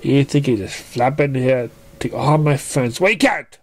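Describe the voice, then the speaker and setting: A man's voice: medium pitch, measured pace, a little mellow, close to an everyday voice. A man voicing a jealous, hot-tempered female party pony in a melodramatic, indignant way. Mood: angry.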